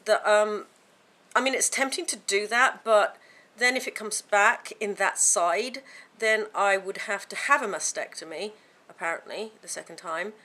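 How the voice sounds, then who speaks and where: A woman vlogging to camera from home, with a croaky voice from a sore throat, chatting in a rambling way with many fillers and restarts. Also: medium pitch, a little slow, bright, forceful tone. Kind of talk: casual chat to camera.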